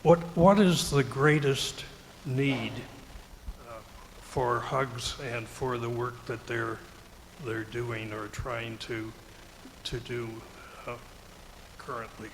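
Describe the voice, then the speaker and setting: Man, audience questioner, slow, hesitant, repeats words, noisy room. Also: medium pitch, fairly even intonation. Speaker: audience member asking a question. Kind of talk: question from the floor.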